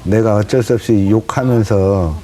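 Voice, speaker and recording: A man's voice: on the low side, normal speed, a crowd in the background. A man, an actor appearing as a guest on a studio talk show, speaking in a loose, conversational way.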